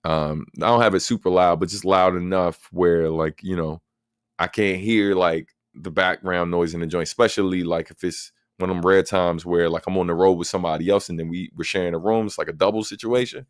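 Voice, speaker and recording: A man with a deep voice hosting a casual livestream Q&A, answering viewer questions in a relaxed, talkative way with plenty of fillers. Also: fairly quick, clean tone, no echo, studio quality. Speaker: host.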